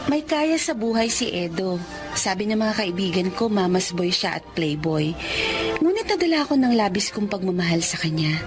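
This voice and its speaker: A woman's voice: medium pitch, very animated, moderate pace, music in the background, lightly acted. A woman playing an earnest, respectful young mother-to-be in a Filipino radio drama. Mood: sorrowful narrating.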